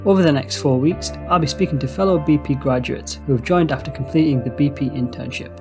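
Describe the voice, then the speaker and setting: A man's voice: on the high side, brisk. Young man, podcast host, scripted and upbeat, faint music underneath. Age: young adult. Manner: reads from a script, upbeat and formal. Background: faint background music.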